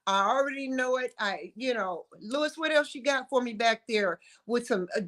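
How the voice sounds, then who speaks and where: A woman host leads an interview in a fairly high voice. She showers her guest with gushing praise and playful slang, keeping the tone lively and flattering. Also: moderate pace. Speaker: talk show host. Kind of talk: casual interview.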